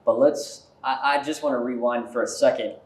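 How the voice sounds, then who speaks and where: A man's voice: medium pitch, fairly even intonation, normal speed, reverberant, slight background noise. A man conducting a casual interview, speaking informally and peppering his questions with "like". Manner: informal, filler-heavy with frequent "like".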